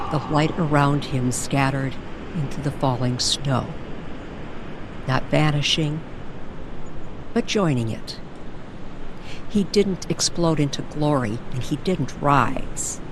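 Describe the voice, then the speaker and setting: A woman narrating a scripted story in an old-time radio style, with deliberate, hushed storytelling phrasing. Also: low voice, noisy background. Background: music bed.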